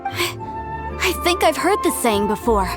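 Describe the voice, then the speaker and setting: A woman's voice: high voice, sing-song, measured pace, music in the background. An actress playing an earnest, studious young female researcher in a video game, with an explanatory way of talking. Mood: curious, puzzled. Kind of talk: scripted video game dialogue.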